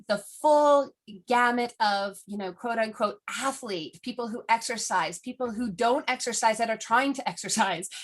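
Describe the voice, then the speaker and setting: A woman's voice: medium pitch, a bit bright. A woman hosting an interview podcast, eager and upbeat, with frequent fillers and restarts. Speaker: podcast host.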